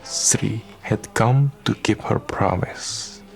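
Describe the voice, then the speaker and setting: A man's voice: on the low side, takes it slowly, creaky, rough recording. A man reading scripted narration for a radio drama. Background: music.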